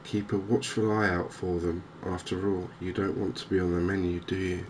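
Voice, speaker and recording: English male, very low even voice, soft-spoken cozy gaming ASMR, clear ambient noise behind. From England. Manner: soft-spoken, gentle, unhurried. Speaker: gaming channel host. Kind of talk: softly spoken cozy ASMR game commentary.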